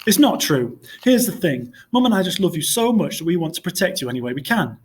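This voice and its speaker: A man's voice: sits high, very animated, fairly quick, slight background noise, voice pushed up. A man voicing a protective, joking father in a storybook. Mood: protective, earnest.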